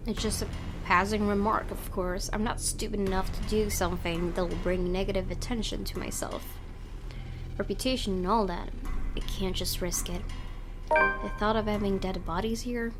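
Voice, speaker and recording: A woman reading a visual novel aloud as first-person dramatic narration. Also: medium pitch. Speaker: gaming video host reading aloud. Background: game music.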